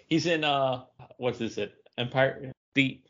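A man's voice: medium pitch, takes it slowly. Man hosting trivia, slightly dull audio. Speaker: podcast trivia host. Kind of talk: casual pop culture quiz banter.

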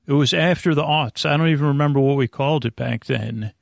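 A man hosting a bedtime podcast, rambling in an improvised, halting way with stammered, repeated word starts and a gravelly, creaky voice. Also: medium pitch, no room sound, studio quality. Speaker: podcast host.

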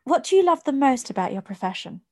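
A woman's voice: high voice, sing-song, fast, no room sound, clean studio sound. A woman interviewing a guest, asking friendly, curious questions.

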